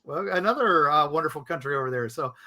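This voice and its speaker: A man's voice: fairly high pitch, expressive, quick pace, bright, forceful tone. A man co-hosting a podcast, chatting loosely with many fillers and reading listener letters aloud. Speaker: podcast co-host. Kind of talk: casual chat, reading listener stories.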